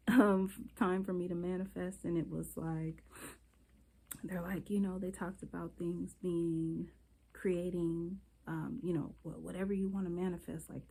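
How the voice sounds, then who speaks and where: Stuffy-nosed woman, slightly low voice. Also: mellow, poor audio. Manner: rambling, candid, talks straight to viewers. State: stuffy from a cold. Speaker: beauty vlogger. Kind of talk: casual chatty vlog.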